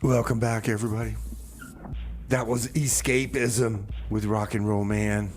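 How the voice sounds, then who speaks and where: A man's voice: fairly low pitch, little pitch movement, unhurried, darkish tone. A man hosting a community radio music show, loose and chatty, repeating himself as he introduces songs and banters. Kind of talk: casual music show presenting with comedy banter.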